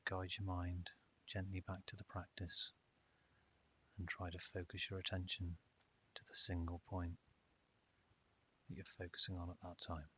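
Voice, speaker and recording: A man narrating a guided meditation in a calm, measured way with long pauses. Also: deep voice. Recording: dull lo-fi audio with hiss.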